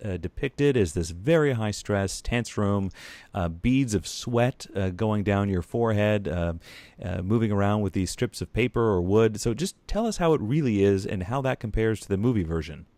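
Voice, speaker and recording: A man hosting a podcast interview, putting questions in a conversational, articulate and measured way. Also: lowish voice, darkish tone, no echo.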